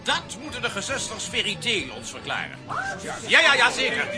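An actor playing a pompous, formal police commissioner who repeats himself, in a children's radio play. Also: male voice, extremely high pitch, wildly swooping pitch, normal speed, heavily acted. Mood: officious. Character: fussy, self-important police commissioner. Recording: music and crowd behind voice.

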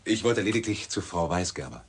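An actor playing a young newspaper journalist in a German radio drama, casually inquisitive and wryly polite. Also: male voice, low-pitched, expressive, fairly quick, a little hiss or hum. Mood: probing, polite. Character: probing, wry young male newspaper journalist.

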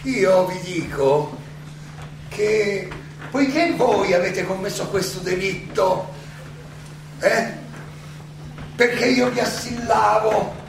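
A man playing an elderly condemned Greek philosopher, defiant yet serene, delivering a deliberate, halting theatrical monologue full of rhetorical questions in an echoey, noisy recording. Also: extremely high pitch, creaky, recorded from a distance, exaggerated voice. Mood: stern reproach. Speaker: stage actor.

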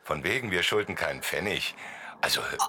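An actor playing a menacing, brooding gangster boss in a German radio drama, gruff, commanding and sneering. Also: male voice, deep voice, animated, fairly quick, sharp tone, a little hiss or hum, full character voice. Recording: music behind the voice.

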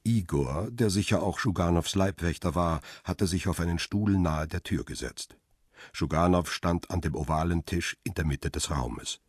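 A middle-aged, world-weary photographer narrating a radio crime thriller in the first person. The voice is very deep and rough-edged, closely and dryly recorded, with a sober, laconic delivery. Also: male voice, moderate pace, dry recording, clean studio sound. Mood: tense, watchful.

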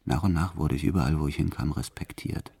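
A hardened, violent gangster tells his own story in a radio drama. His voice is extremely deep. He recounts brutal deeds in a measured, matter-of-fact way, with tenderness underneath. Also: creaky, dark tone, slightly rough, no echo, full character voice. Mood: subdued, brooding. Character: violent male career criminal confessing his life, brutal yet tender. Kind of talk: first-person radio play narration.

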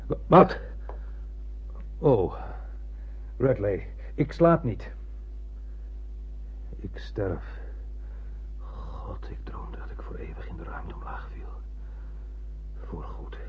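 Husky, obsessed old sea-captain of space. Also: male voice, on the low side, sing-song, very slow, long pauses, in a whisper, heavily acted. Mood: dazed, weary. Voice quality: husky, raspy. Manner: brooding, declamatory, poetic. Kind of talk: radio play acting. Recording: muffled lo-fi radio play recording.

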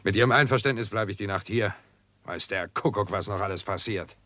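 An actor playing a shrewd, bossy investigator with an emphatic, wry manner in a 1960s German radio crime drama. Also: male voice, lowish voice, fairly quick, close to an everyday voice.

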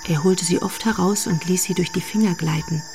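A woman's voice: on the low side, brisk, vocal fry, over music. An actress playing the composed storyteller of a crime radio drama, a woman reading scripted literary narration. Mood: composed, grave.

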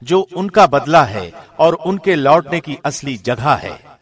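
Guy narrating a holy book translation, kinda high voice, formal radio host style, measured. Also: big pitch swings, a bit bright. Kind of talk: scripted scripture translation reading.

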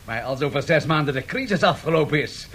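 A grandiose tycoon in a vintage radio play, with a slightly high, gravelly voice. He sounds weary yet utterly sure of himself, over noticeable tape noise. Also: expressive, a little fast. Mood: defiant, composed. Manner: grandiose, self-assured. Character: weary, defiantly confident male match-industry tycoon. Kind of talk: 1970s radio drama acting. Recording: noisy vintage radio recording with hiss.

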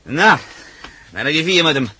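An actor in a radio play as a brisk, practical pilot who helps fugitives get away, giving orders. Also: male voice, on the high side, expressive, natural voice. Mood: brisk, busy. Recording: noisy radio drama recording.

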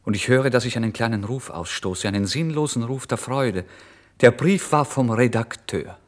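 A man's voice: mid-range voice, normal speed, lightly acted. An actor playing a penniless, emotional writer who recounts his misery in a theatrical, intense German radio drama reading. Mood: ecstatic. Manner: theatrical, intense, swinging between hushed and exclamatory. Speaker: first-person narrator.

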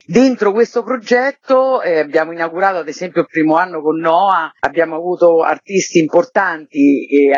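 A woman's voice: lowish voice, husky, no room sound. Woman interviewee in dull, dry sound. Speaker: interviewed festival artistic director. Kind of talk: spontaneous radio interview.

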